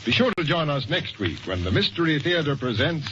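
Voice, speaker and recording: A man's voice: fairly high pitch, big pitch swings, fairly quick, bright, forceful tone. A man hosting a mystery program, giving a scripted sign-off in 1940s radio style. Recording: dull, hissy vintage radio recording.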